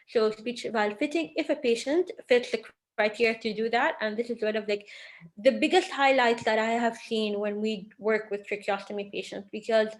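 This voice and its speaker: Earnest woman speech therapist presenting. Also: on the high side. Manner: earnest, with frequent fillers and restarts. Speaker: speech language pathologist. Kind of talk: webinar presentation. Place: online webinar from home or office.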